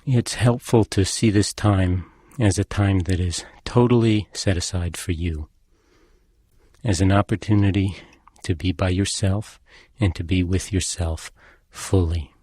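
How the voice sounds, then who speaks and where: Deep, husky man guiding meditation. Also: no echo. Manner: calm, measured, gently instructing. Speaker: meditation instructor. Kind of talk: guided meditation narration.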